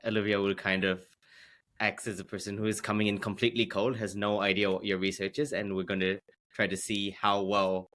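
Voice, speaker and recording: A man hosting a podcast from an office, friendly and halting, with many ums and restarts. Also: fairly low pitch, flat delivery, a bit bright. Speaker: podcast host.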